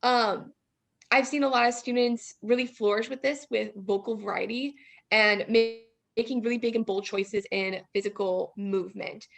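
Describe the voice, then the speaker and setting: A woman's voice: fairly high pitch, fairly even intonation, moderate pace, vocal fry. A young woman, a podcast guest, explaining something informally. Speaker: guest speech coach and judge.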